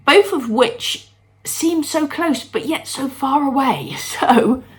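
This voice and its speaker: A woman's voice: high voice, lively intonation, slow pace. A middle-aged woman with a stuffy, congested voice from a cold, chatting cheerfully to viewers in a home vlog. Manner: bubbly, self-deprecating, talks to viewers. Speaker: candle-making vlogger. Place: at home, moving between rooms.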